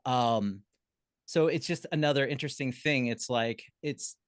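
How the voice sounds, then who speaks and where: A man speaking as a panelist on a video call, explaining casually in short, halting phrases. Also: mid-range voice, no echo, studio quality. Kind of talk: conversational panel discussion.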